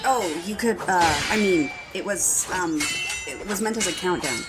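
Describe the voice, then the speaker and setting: A woman's voice: fairly low pitch, a little slow, lightly acted, low-quality recording. A woman playing an informal, joking young male fighter in an audio drama. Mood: earnest. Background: loud music.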